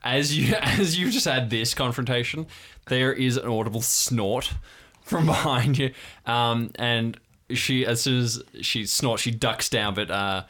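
A man narrating an improvised tabletop story as game master in a halting, informal way. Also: medium pitch, a bit bright.

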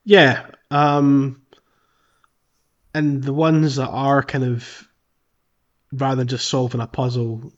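A man's voice: mid-range voice, little pitch movement, slow, pauses often. A man hosting a podcast, talking casually through a video game playthrough. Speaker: podcast host. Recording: dull, slightly lo-fi audio.

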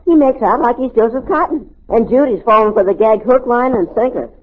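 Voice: medium pitch, a little fast, close to an everyday voice. A performer playing a cheeky, scheming kid brother who wisecracks in a vintage radio sitcom. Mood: sly. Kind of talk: 1940s radio sitcom acting. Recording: dull, faintly hissy old radio broadcast.

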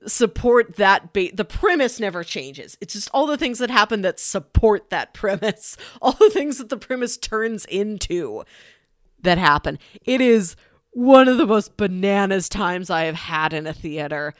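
An American woman co-hosts a casual film podcast. Her voice sits at a middling pitch and she speaks with enthusiasm and emphasis. The sound is dry, with very little room in it. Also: expressive, unhurried, dry recording. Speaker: podcast co-host reviewing films. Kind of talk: casual chat, film recommendations.

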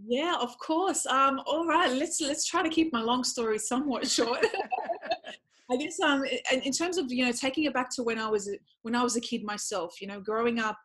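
A woman's voice: high voice, moderate pace, fairly bright tone. A woman with an Australian accent, talking as a podcast guest in an enthusiastic, chatty and casual way. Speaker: podcast guest, speaker and former PE teacher. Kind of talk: casual conversational interview.

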